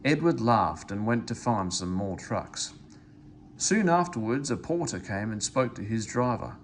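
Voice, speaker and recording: A man reading a children's story aloud in an expressive, animated way. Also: lowish voice, measured pace, slight background noise. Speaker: storybook reader.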